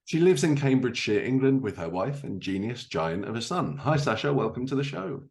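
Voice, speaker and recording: A man's voice: medium pitch, big pitch swings, brisk, vocal fry, a little mellow. A man hosting a podcast, delivering scripted introductions and interview questions. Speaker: podcast host.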